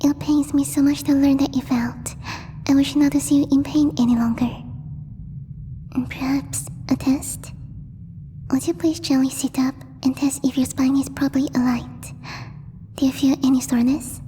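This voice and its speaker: A woman voicing a gentle, timid alien girl in a soft, breathy ASMR roleplay, speaking formally and haltingly as she searches for words. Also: high voice, fairly even intonation, lightly acted. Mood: tender, concerned. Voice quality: breathy, whispery. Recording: long reverb, faint background noise.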